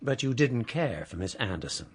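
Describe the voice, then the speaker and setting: Actor doing suave older lawyer guy, formal and patronising, lots of lilt in the pitch, radio drama. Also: sing-song, moderate pace, a little hiss or hum, close to an everyday voice. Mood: probing. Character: suave, disapproving older lawyer and former guardian. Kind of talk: radio play acting.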